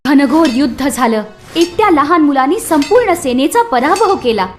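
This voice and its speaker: A woman narrating a scripted mythological story for children in an expressive, dramatic way. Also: high voice, normal speed, faint music behind.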